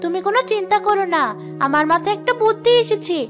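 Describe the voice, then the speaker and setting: A woman's voice: very high-pitched, quick pace. A woman voicing an earnest, caring young girl, a big sister in a children's cartoon. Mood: earnest, concerned. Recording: music behind the voice. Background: music.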